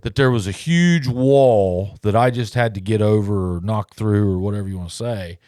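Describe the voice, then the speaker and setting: A man's voice: lowish voice, moderate pace, warm tone, no room sound, clean studio sound. A man in his forties hosting a casual podcast, talking in a rambling way that circles back and rephrases. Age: 40s. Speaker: podcast host.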